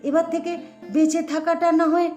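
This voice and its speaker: A woman voicing a retired Bengali mother-in-law, quietly disapproving and self-restrained, in an expressive, conversational story reading. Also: extremely high pitch, normal speed, pitched above the performer's own voice. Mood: resolved, reflective. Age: older. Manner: conversational, expressive, rhetorical questions. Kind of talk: first-person dramatic story reading. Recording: echoey voice over sitar music.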